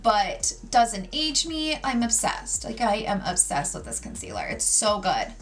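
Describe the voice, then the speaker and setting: A woman in her forties talking through a makeup tutorial at home, with gossipy, animated storytelling and lots of "like"s. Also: medium pitch, a little slow, faint music behind. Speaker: beauty vlogger. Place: home, sitting at a makeup table.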